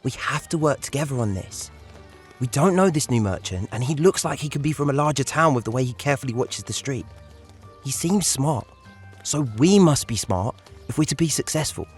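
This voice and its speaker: A man's voice: mid-range voice, animated, brisk, husky, soft background music. A man playing a responsible, scheming thirteen-year-old leader of street urchins in an audio drama, firm and reasoning.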